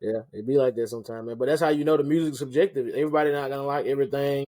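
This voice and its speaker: A man hosting a casual interview, relaxed and slangy, often restarting his questions. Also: mid-range voice, racing, no room sound. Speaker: interview host and rapper.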